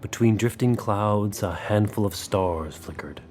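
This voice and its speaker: A man's voice: on the low side, measured pace, darkish tone. A man narrating a scripted dramatic story as its narrator. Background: music under the voice.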